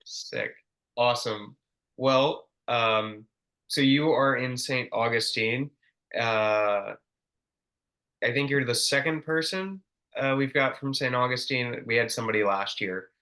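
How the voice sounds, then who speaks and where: Bright-voiced young man hosting casually. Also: medium pitch, slow pace. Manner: relaxed, slangy, many ums and restarts. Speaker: podcast host. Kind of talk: casual interview chat.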